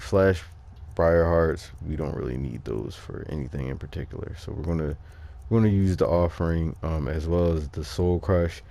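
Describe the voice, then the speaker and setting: A man giving casual, off-the-cuff game walkthrough commentary, thinking aloud in a halting way. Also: very deep voice, vocal fry, mellow. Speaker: gaming video host.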